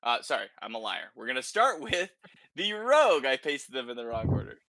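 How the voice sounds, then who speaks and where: A man presents game details in a loose, informal podcast style. His voice is bright and crisp-edged, recorded dry and close. He speaks conversationally, leaning on filler words like "kinda" and "like". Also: medium pitch, very animated, fairly quick, no echo. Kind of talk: casual podcast discussion of a video game.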